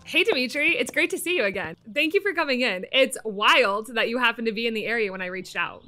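A woman's voice: fairly high pitch, expressive, quick pace, pushed and bright, faint music behind. A woman in a casual improvised role-play as a behavior analyst, phrasing things hesitantly.

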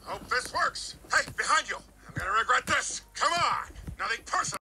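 A wisecracking space smuggler from a video game. He is cocky and offhand, pitched high with lots of swing. The recording is very noisy. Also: big pitch swings, moderate pace, full character voice. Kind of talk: video game character quips. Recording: very noisy playback with clicks.

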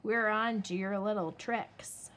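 A woman voicing a stern, gruff father wolf in a read-aloud story. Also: mid-range voice, steady tone, slow pace, a bit bright.

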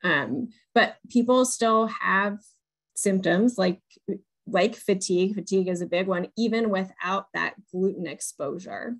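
A woman's voice: medium pitch, a little slow. A woman, putting questions conversationally as the moderator of a webinar. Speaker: moderator, patient-advocacy research director. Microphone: video call on wired earbuds.

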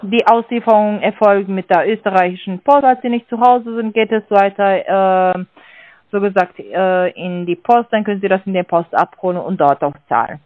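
A woman's voice: mid-range voice, normal speed, no room sound. A woman calling as a sales agent over the phone, talking hesitantly with many filler sounds and non-native grammar. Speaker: sales caller. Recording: dry tinny phone line.

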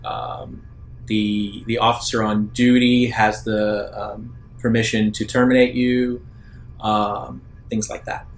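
A man working as a game master, improvising narration for a tabletop game in a halting, deliberate way. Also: little pitch movement. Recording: rumbly background noise.

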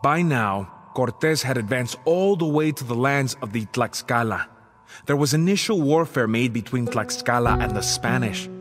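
A grave, proud Aztec warrior voiced by a man tells his chronicle as a game narrator. His voice sits at a medium male pitch, solemn and measured in dramatic storytelling. Music plays clearly behind him. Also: animated, lightly acted.

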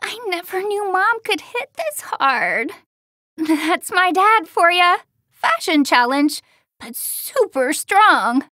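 A brash young martial-arts girl in an anime fighting game. The voice is very high and girlish, swinging widely in pitch with a forceful, bright edge. The delivery is cocky, spirited and full of exclamations. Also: no room sound, clean studio sound, exaggerated voice. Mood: frustrated, conceding. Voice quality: girlish, youthful. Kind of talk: English-dubbed anime video game battle lines.

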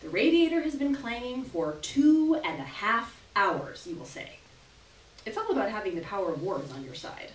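Expressive young woman reading, roomy and distant. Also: mid-range voice, normal speed. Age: young adult. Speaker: performer reading a short story aloud. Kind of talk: live dramatic literary reading. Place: small gallery room, live stage reading. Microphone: stand microphone, recorded by distant camera. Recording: roomy, distant camera audio with hiss.

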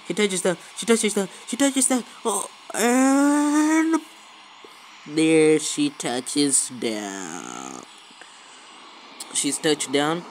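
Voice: mid-range voice, poor audio. A woman giving casual gameplay commentary as a video creator, often repeating words and phrases, recorded on a handheld phone. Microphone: handheld phone filming a screen. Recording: faint background noise, amateur phone recording.

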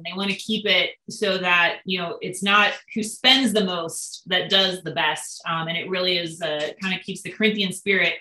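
A woman's voice: on the low side, pushed and bright. A young woman answering interview questions over a video call, explaining steadily with frequent ums. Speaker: boat builder guest. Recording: roomy video call audio.

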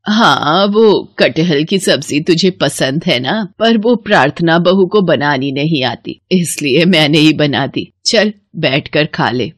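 A woman's voice: deep voice, expressive, takes it slowly, few pauses, slightly rough, no echo, studio quality, exaggerated voice. Woman playing a scheming bossy older mother-in-law. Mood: falsely caring. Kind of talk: animated moral story dialogue.

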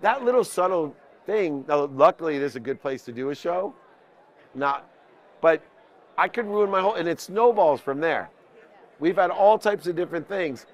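Higher-voiced man coaching, emphatic. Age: 50s. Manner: emphatic, listing points in parallel phrases. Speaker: business coach. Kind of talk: impromptu motivational business talk. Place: indoor convention booth with onlookers. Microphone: small mic clipped at the collar.

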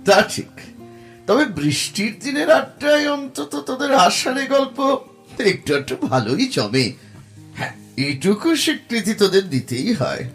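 An actor playing a teasing, sceptical young husband in an audio drama, bantering and sardonic. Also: male voice, high voice, big pitch swings, unhurried, fairly bright tone, faint music behind, exaggerated voice.

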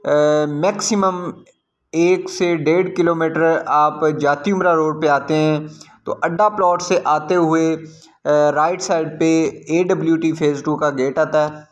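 Higher-pitched Pakistani man giving property commentary. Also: steady tone, takes it slowly. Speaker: real estate agent, video host. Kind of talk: property site-visit commentary.

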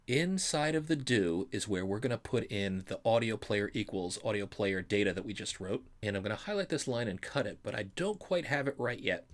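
A man explaining things step by step as an instructor in a screencast programming tutorial, reassuring and stressing key terms. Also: fairly low pitch. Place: voice-over for a screen recording.

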